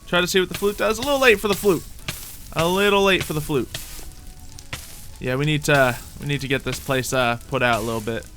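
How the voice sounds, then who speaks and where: A man's voice: fairly high pitch, brisk, a bit bright, soft background music. A man commentating on his gameplay in character, theatrical and mock-boastful, muttering to himself and repeating his phrases. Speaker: gaming video host.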